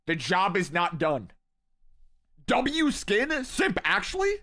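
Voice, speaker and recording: Hyped young streamer, high bright voice. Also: male voice, huge pitch swings, takes it slowly. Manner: hyped, rambling, talks to chat. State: losing his voice. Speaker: streamer hosting a watch party. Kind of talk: excited live-stream chat.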